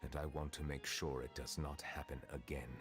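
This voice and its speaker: A very deep, measured male voice playing an ancient dragon turned judge, formal and solemn in every word. Loud music runs under him in the game. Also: level tone.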